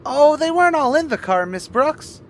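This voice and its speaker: Goofy high squeaky teen boy, old radio comedy, eager, faint car noise behind. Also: animated, normal speed. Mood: eager, sheepish. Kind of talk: 1950s radio sitcom acting.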